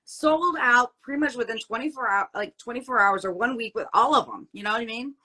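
A woman's voice: fairly high pitch, fairly quick, bright, forceful tone, husky. A woman hosting a casual interview show, chatty and encouraging, repeating herself for emphasis.

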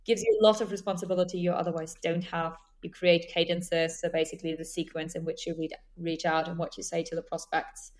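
A woman's voice: lowish voice, little pitch movement, brisk, hardly any pauses. A young adult woman, a guest on a podcast interview, talking fluently and explaining terms as she goes. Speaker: guest, senior account executive.

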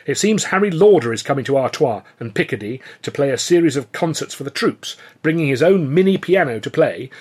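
A man's voice: on the high side, husky, pitched above the performer's own voice. A man voicing a weary, harried upper-class army captain with clipped officer's speech.

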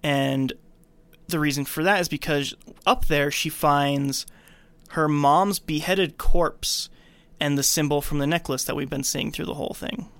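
A man hosting a podcast, casually retelling a film's story in an animated storytelling way. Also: fairly high pitch, unhurried, smooth, no room sound. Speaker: podcast host recapping a film. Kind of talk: casual film recap narration.

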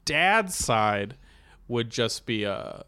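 Mid-pitched man joking in casual chat. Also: big pitch swings, very slow, bright, forceful tone, clean tone. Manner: joking, acts out exchanges. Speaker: podcast co-host.

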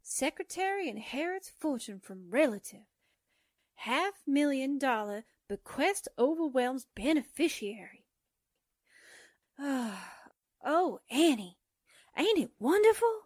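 A woman's voice: high-pitched, very animated, takes it slowly, no echo, clean studio sound, full character voice. A woman playing a dreamy, gullible young secretary in an old-time radio drama, with a gushing, wistful and naive manner. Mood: gushing, tearful.

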